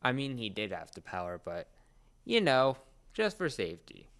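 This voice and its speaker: Clear-voiced man chatting and explaining, halting delivery. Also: medium pitch, big pitch swings, a bit bright. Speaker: podcast co-host explaining a series. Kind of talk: casual chat, explainer.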